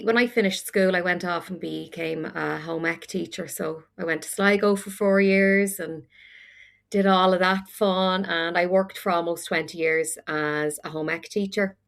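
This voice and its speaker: Gravelly Irish woman telling her story. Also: fairly low pitch, unhurried. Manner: frank, animated, self-deprecating humour. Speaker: podcast guest. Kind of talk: candid storytelling chat.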